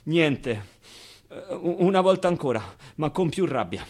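An actor playing a naive, foul-mouthed, wistful orphan boy in an expressive theatrical monologue, with hesitant repetitions and pauses. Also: on the high side. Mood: eager, frustrated. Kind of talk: theatrical monologue, storytelling to an audience.

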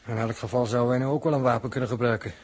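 An actor in a 1960s Dutch radio drama playing a sober, practical, matter-of-fact crew mate. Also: male voice, mid-range voice, steady tone, racing, a little mellow, slightly rough, close to an everyday voice. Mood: questioning.

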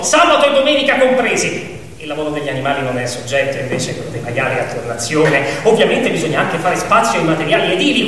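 A man's voice: high-pitched, fairly bright tone, a little hiss or hum. A man narrating a radio play in a dramatic, declamatory and ironic theatrical style. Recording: echoey hall, distant mic, music behind.

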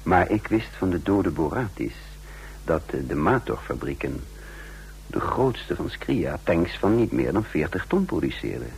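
A composed secret agent with a deep voice. He speaks formally and deliberately, as narrator and character in a radio drama. The old recording carries faint noise. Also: vocal fry, a little mellow, full character voice, low-quality recording. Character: composed male intelligence agent recounting his secret mission.

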